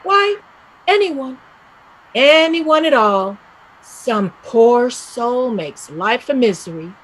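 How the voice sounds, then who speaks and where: A woman's voice: a little high, expressive, slow pace. A woman acting a restless, dreamy and bitter young Irish emigrant in a play reading, with an emphatic, lyrical delivery. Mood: goading, insistent.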